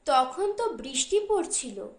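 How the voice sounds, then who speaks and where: A woman narrator voicing a humane, mild older brother-in-law in an audiobook story, gently reasoning, in an echoing room. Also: sits high, sing-song, measured pace, exaggerated voice.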